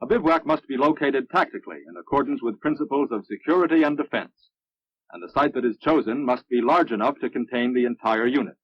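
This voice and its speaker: An American man reading scripted narration for a military instructional film in a measured, instructive manner. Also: medium pitch, breathy edge. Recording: muffled, dry vintage film soundtrack.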